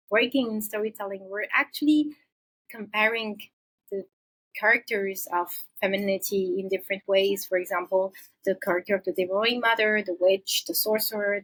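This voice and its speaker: A woman's voice: medium pitch, fairly bright tone, poor audio. Hesitant middle-aged woman from Senegal. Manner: thoughtful, many pauses and hesitations. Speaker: psychologist guest. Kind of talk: interview answers.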